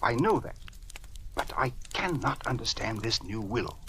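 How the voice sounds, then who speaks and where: A man's voice: mid-range voice, very animated, measured pace, fairly bright tone. An actor playing an earnest, troubled older male judge with a hesitant, formal manner in a 1940s radio drama. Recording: noisy old radio recording.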